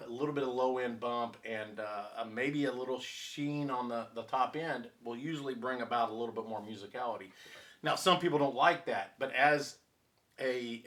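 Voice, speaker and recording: Guy explaining technical stuff in a chat, leans on key points and repeats them, average pitch. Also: normal speed, a bit bright. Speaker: audio reviewer and speaker designer, co-host.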